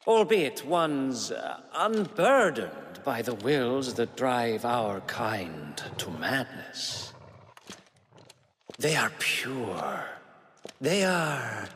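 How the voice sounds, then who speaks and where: An actor playing a haughty, fanatical dark lord in a video game, drawing out phrases with mocking courtesy. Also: male voice, mid-range voice, sing-song, extremely slow, big-room sound, slight background noise, exaggerated voice. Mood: condescending rapture. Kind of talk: dramatic video game cutscene acting.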